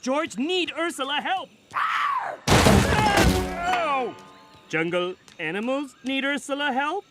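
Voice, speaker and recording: Dopey friendly cartoon caveman, bouncy pitch, broken grammar, music blaring behind. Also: big pitch swings, slow, full character voice. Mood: pleading, eager. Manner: simple-minded broken caveman speech. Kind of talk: cartoon voice acting.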